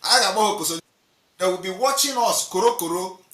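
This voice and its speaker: A man's voice: high-pitched, expressive, fast talker, fairly bright tone, distant mic. A man with a Nigerian accent giving an impassioned, repetitive political monologue, mocking and emphatic. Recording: roomy echo, off-mic.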